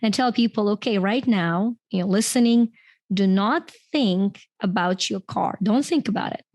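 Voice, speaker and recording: A woman's voice: mid-range voice, moderate pace, a little mellow, no room sound. A middle-aged woman from Ukraine, a podcast guest telling her story in a chatty way, often laughing mid-sentence. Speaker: podcast guest, author and coach. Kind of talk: conversational interview storytelling.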